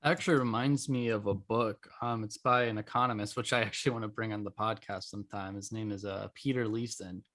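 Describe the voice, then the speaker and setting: Podcast host, male, lowish voice, casual and informal, loosely phrased conversational delivery. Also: steady tone, a little fast, hardly any pauses, fairly bright tone.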